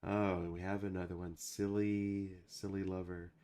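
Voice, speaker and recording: A man with a low voice talks slowly and loosely, as if chatting with viewers between comments. He rambles, restarts and fills gaps with "uh" and "um." Music plays clearly in the background. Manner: rambling, relaxed, with many fillers and restarts. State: recovering from a week of sickness. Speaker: animator and channel producer. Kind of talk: casual livestream chat reading comments.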